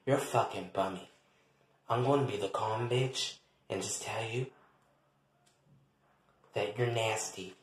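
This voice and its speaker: A man voicing a cool, contemptuous woman who talks softly and measuredly in a comedy skit. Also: close to an everyday voice. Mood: cold contempt. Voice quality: soft, hushed, low. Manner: measured, icy, disdainful. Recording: very echoey, reverberant room.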